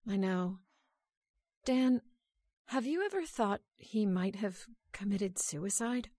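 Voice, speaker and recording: A woman's voice: medium pitch, animated, takes it slowly, mellow, dry recording, clean studio sound. A woman playing an inquisitive, earnest wife in an old-time radio drama, in a conversational style. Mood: curious. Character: inquisitive married woman, wistful heiress to a house.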